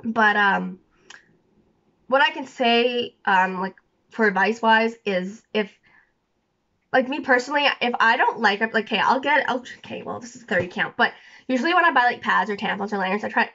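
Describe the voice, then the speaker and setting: A woman's voice: on the high side, moderate pace, pushed and bright, dull audio. A young woman vlogging casually from her bedroom, rambling with lots of fillers and restarts, a bit sniffly with a scratchy throat. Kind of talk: rambling casual chat to camera. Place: small bedroom indoors.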